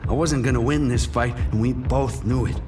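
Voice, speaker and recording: An actor playing a wounded, proud and desperate drug dealer in a dramatic first-person noir crime audio drama. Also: male voice, mid-range voice, moderate pace, distant mic, lightly acted. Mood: grim, tense. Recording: studio voice under prominent music.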